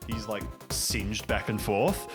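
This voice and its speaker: A man acting as game master, narrating an improvised tabletop game in a casual, jokey, giggly way. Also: fairly low pitch. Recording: music playing behind the voice. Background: music.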